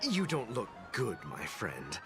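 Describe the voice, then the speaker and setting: Very animated, friendly guard. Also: male voice, fairly low pitch, slow pace, exaggerated voice. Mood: concerned. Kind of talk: scripted video game dialogue. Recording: loud music under the voice.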